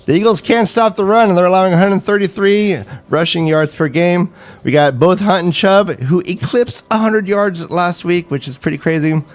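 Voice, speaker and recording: A man's voice: sits high, moderate pace. A man hosting a comedic fantasy football livestream, joking and reading off stats. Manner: animated, joking, reads stats. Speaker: livestream host.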